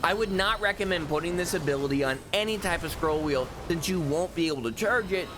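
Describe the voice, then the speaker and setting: A man's voice: fairly high pitch, animated, brisk, background noise. A young man giving casual, offhand commentary over gameplay, joking and cursing freely. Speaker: gaming YouTuber.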